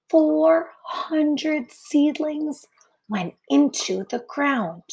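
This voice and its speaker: A woman reading a picture book aloud to children as a teacher, phrasing deliberately with many pauses and adding brief asides to young listeners. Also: a little high, breathy edge, poor audio.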